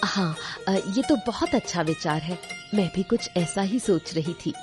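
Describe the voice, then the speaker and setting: Woman acting a composed young village woman, lowish voice. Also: measured pace, music in the background, close to an everyday voice. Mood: purposeful. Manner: composed, polite, purposeful. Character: sensible, determined educated young village woman. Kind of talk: Hindi cartoon story acting.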